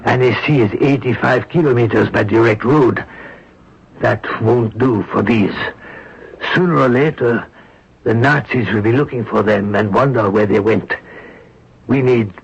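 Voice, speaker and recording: An actor playing a grave, kindly French churchman in a radio drama, speaking in a measured, formal way. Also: medium pitch, creaky, slightly rough, full character voice. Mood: cautious, practical. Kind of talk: radio mystery drama acting. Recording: muffled, noisy old radio recording.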